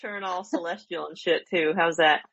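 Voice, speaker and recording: A woman's voice: fairly low pitch, steady tone, normal speed, gravelly, a loud crowd. A woman playing in a tabletop game, playful and giggly in casual chat. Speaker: player. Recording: dry, dull lo-fi sound.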